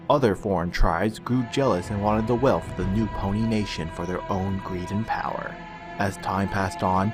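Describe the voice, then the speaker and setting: Man narrating a fantasy tale over music. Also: fairly low pitch. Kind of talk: scripted fantasy storybook narration.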